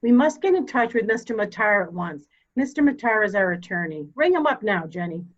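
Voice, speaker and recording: A woman acting a steely, dying elderly mother in a mystery drama reading, speaking formally and deliberately. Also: mid-range voice, lively intonation, highs cut off, natural voice. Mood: commanding, controlled.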